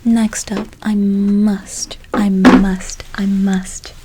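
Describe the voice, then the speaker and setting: Woman acting as a gentle ASMR witch, whispery, even intonation, affectionate, unhurried, repetitive, close mic. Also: medium pitch, very noisy. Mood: soothing, unhurried. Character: gentle, doting female witch casting good-luck spells. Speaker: ASMR role-play performer. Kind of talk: whispered ASMR role play. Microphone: close ASMR microphone.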